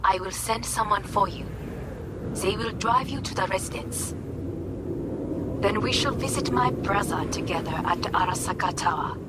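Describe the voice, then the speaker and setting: A woman acts a corporate heiress in a video game, imperious and composed. Her female voice sits at a medium pitch, with formal, measured phrasing. Loud ambient noise surrounds the words. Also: natural voice. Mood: cool composure. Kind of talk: dramatic video game acting.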